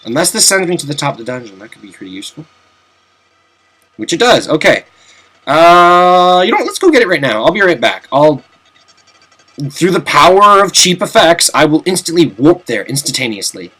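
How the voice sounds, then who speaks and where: A man's voice: a little high, sing-song, moderate pace, bright, forceful tone, husky. Chatty young man narrating gameplay. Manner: chatty, self-mocking, jokey asides. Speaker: let's play commentator. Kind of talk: casual gameplay commentary.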